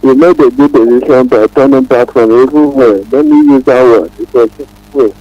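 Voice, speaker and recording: A man's voice: medium pitch, sing-song, moderate pace, vocal fry, mellow, dry recording, highs cut off. A man with a Nigerian accent phoning in to a radio show, earnestly exhorting and repeating his words. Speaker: radio phone-in caller. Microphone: phone call.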